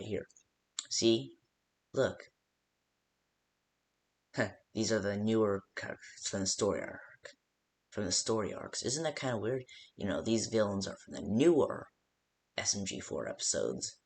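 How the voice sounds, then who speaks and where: Casting: male actor as rambling night-shift phone guide for a game, low gravelly voice, hesitant and unpolished. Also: animated, measured pace, pauses often. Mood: casual, hesitant. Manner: hesitant, rambling, full of stops and second thoughts. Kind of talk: improvised-sounding instructional phone call for a game.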